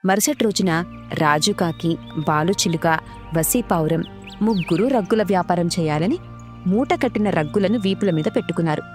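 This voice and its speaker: A woman's voice: on the low side, normal speed. A woman narrates a scripted story for children. She acts as the storyteller, with prominent music under her voice.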